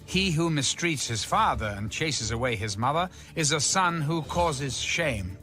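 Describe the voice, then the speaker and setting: A man delivers a scripture reading at a slow, deliberate pace. His pitch moves expressively and his phrasing is declamatory and measured. Music plays behind him. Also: expressive, bright, forceful tone. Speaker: audio Bible reader.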